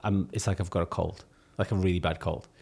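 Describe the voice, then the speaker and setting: Very deep-voiced man, rambling. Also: fairly even intonation, quick pace, creaky, darkish tone, husky. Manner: rambling, hesitant, lots of fillers and laughs. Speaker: podcast co-host, musician. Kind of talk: casual chat.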